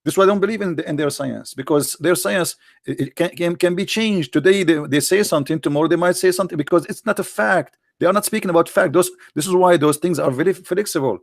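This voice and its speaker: A man's voice: sits high, fast talker, creaky, hardly any pauses, no room sound, studio quality. A man hosting a live online debate, mocking and sarcastic, firing questions at his opponent. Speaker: debate host.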